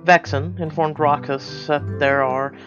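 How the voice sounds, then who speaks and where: A man reading scripted story diary entries as a narrator, in a measured, formal manner. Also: a little high, steady tone, muffled recording. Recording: loud music behind.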